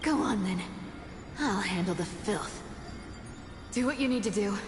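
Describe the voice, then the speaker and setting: A woman's voice: medium pitch, expressive, a little slow, background noise, close to an everyday voice. A woman playing a sly, fearless feline rogue girl in an anime game, teasing and nonchalant. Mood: teasing, resolute. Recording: echoey reverb with music behind. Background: game music.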